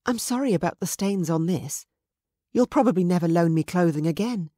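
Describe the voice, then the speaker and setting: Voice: mid-range voice, lively intonation, moderate pace, dark tone, dry recording, studio quality, pitched above the performer's own voice, natural voice. A narrator voicing a playful young woman who teases in a light, lilting way.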